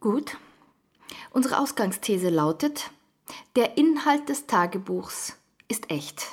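A woman playing a determined, accusing investigative journalist in a radio drama, firm and pointed, with a husky voice. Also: mid-range voice, expressive, takes it slowly.

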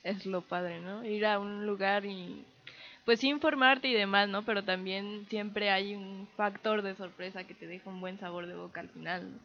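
A woman's voice: mid-range voice, fairly even intonation, normal speed, dull audio. A woman hosting a casual radio interview, chatty and friendly in her questions. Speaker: radio host.

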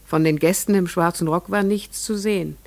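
An actress playing a farmer's wife and mother who tells her story in scripted first-person narration for a radio play. She speaks in a dreamy, measured way, hushed in places and rising to rapt wonder. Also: lowish voice, creaky.

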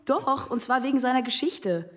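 A woman's voice: high voice, lively intonation, moderate pace. An actress playing an outspoken, provocative young female student arguing in a classroom radio drama. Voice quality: sharp, mocking, confrontational.

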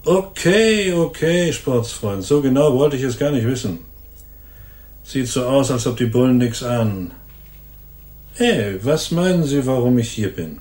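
Guy acting a hardened crook with a gun, sneering tough talk, mid-pitched voice, radio thriller, echoey room. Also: expressive, measured pace, a little mellow, lightly acted. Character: cynical hardened ex-convict gunman, menacing and resigned. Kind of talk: radio crime drama acting. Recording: roomy studio echo.

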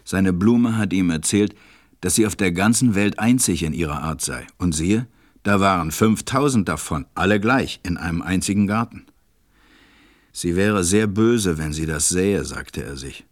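A man narrating a fairy tale as a measured, reflective storyteller in a radio play. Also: low-pitched, lively intonation. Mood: wistful.

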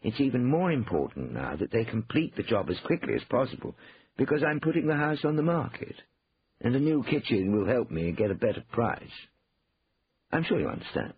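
A man voicing a shrewd older gentleman in an audiobook, politely rueful and slyly composed. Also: medium pitch, big pitch swings, fairly quick, husky, narrow, tinny audio, pitched above the performer's own voice, full character voice.

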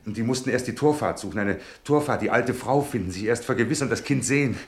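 An actor in a German radio play voicing an anxious, tender middle-aged father, speaking in a halting, earnest, pensive way. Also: medium pitch, echoey room, close to an everyday voice. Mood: relieved, excited.

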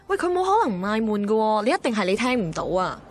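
A woman's voice: medium pitch, very animated, quick pace. An actress playing a blunt, teasing, know-it-all female friend in a radio drama, emphatic, with a Hong Kong Cantonese accent. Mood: exasperated, teasing. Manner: emphatic, mixes in English words. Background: music.

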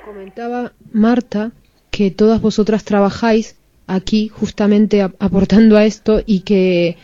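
A woman with a very soft-edged, dark voice interviews someone for radio. The sound is dry and somewhat muffled, and she talks in a conversational way. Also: mid-range voice, fairly even intonation, a little slow, no room sound. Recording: dull, muffled radio recording.

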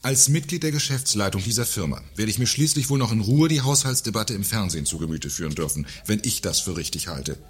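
An actor playing a pompous, irritable, controlling company director in a satirical radio play. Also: male voice, on the low side, animated, fast, few pauses, slightly rough. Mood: indignant. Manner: pompous, self-important. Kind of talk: German radio play acting.

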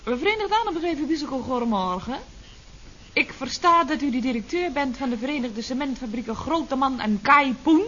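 High-voiced, cheeky secretary woman. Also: animated, brisk, fairly bright tone. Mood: mock-sweet. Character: cheeky, mock-innocent female office secretary. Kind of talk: Dutch radio comedy serial acting. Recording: dull, faintly noisy vintage radio recording.

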